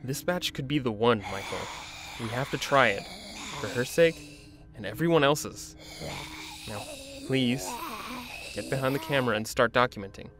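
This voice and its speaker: An actor playing a driven, commanding research doctor in an audio drama, with a clinical way of talking. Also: male voice, mid-range voice, normal speed, long pauses, background noise, lightly acted. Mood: firm, persuasive.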